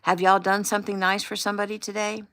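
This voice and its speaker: A woman's voice: mid-range voice, little pitch movement, fast talker, a bit bright, voice pushed up, natural voice. A woman voicing an earnest young man speaking to his online followers in an encouraging, easygoing way. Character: earnest young man, friendly social media personality.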